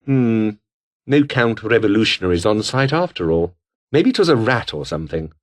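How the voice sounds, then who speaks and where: A man's voice: fairly low pitch, very animated, normal speed. A male actor voicing a menacing, foul-mouthed revolutionary foot soldier with a British accent, taunting and theatrical in video game barks. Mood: suspicious, irritated.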